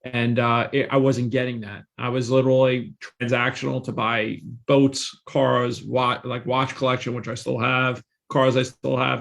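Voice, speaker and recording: A man's voice: mid-range voice, creaky, a bit bright. A man in his forties, a guest on a podcast, telling his career story in a confident, salesy way with emphatic repetition. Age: 40s. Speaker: real estate investor and guest.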